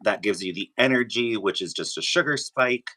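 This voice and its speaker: A man with a very deep voice that still sounds bright and forward. He talks casually as a guest sharing his own experience, often hesitating and searching for the next phrase. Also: normal speed. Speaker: podcast guest. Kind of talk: casual conversational testimonial.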